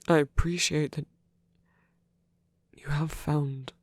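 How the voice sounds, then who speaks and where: A man performing a soft ASMR roleplay as a lonely, gentle old vampire priest, speaking haltingly and tenderly in formal words, breathy and often near a whisper. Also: a little high, steady tone, no room sound. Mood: wistful, tender.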